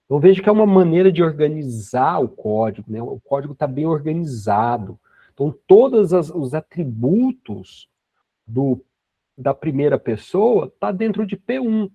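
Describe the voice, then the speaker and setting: A man in his forties walks through a coding lesson step by step, checking in with quick tag questions as he goes. His voice is gravelly and a little husky, set slightly high for a man. The recording is dry and dull, like a cheap desk mic. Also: very animated, no room sound. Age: 40s. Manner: explains step by step, with frequent tag questions and restarts. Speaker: programming teacher.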